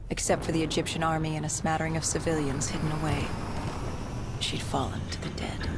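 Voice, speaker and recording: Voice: medium pitch, gravelly, noisy background, close to an everyday voice. An actor playing a war-weary soldier in a video game cutscene, recounting battle memories in a grim, clipped, dramatic way. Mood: urgent, grim.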